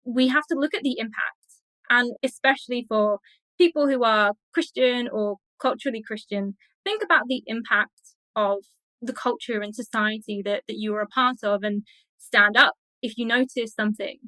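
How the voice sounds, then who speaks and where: A woman giving measured, explanatory answers as an academic guest in a thoughtful interview. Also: fairly high pitch, pushed and bright, no echo, low-quality recording. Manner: measured, explanatory, uses everyday examples.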